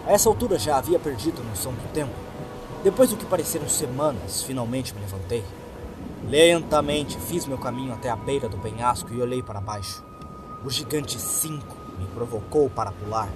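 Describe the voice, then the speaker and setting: A man with a Brazilian accent narrates a creepy first-person tale over clearly audible music. His voice sits in a medium register. He phrases things deliberately and dramatically to build suspense. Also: mid-range voice, lively intonation. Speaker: horror story narrator. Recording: music behind the voice. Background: background music.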